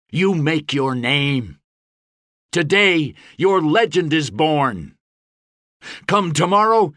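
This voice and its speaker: A man's voice: fairly high pitch, no echo, clean studio sound, pitched above the performer's own voice, exaggerated voice. A man voicing a rousing fantasy mercenary leader in an audiobook, giving an impassioned, declamatory speech to an army before battle. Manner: impassioned, declamatory, shouting oratory. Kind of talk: audiobook fantasy character acting.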